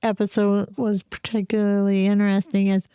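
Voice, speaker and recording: A woman's voice: mid-range voice, flat delivery, normal speed, mellow, smooth, no echo. A woman co-hosting a podcast, talking in a casual, conversational way. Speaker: podcast co-host. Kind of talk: casual chat.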